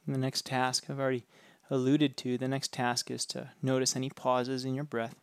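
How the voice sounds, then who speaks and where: A man leading a guided meditation with calm, gentle, unhurried instructions. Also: medium pitch, smooth, no room sound.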